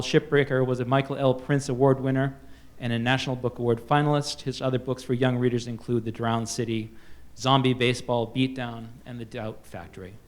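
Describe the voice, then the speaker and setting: A man moderating a book festival panel, reading out author introductions with frequent fillers and restarts. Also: mid-range voice. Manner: halting, many fillers and restarts.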